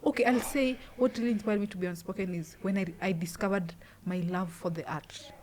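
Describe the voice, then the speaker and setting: Loud-voiced Kenyan woman host. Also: medium pitch, brisk, slight background noise. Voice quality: loud voice and loud laugh. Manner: rambling, with many restarts. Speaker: podcast host. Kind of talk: casual interview chat.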